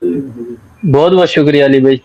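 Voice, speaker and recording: A man's voice: medium pitch, measured pace, a little mellow, no echo. A man politely and deferentially putting informal questions, recorded in dull lo-fi sound. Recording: dull lo-fi close dry sound.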